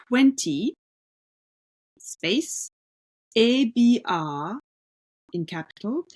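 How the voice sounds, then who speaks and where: A woman's voice: mid-range voice, darkish tone, poor audio. A woman co-hosting a podcast, talking casually about an art exhibition, explaining at length with many fillers and restarts. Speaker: podcast co-host.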